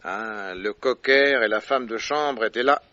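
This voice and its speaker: An actor playing a shrewd, self-assured, playful detective, explaining things theatrically in a French radio drama. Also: male voice, on the low side, unhurried, bright, forceful tone, no room sound. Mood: confident, triumphant. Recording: dull, dry old radio recording.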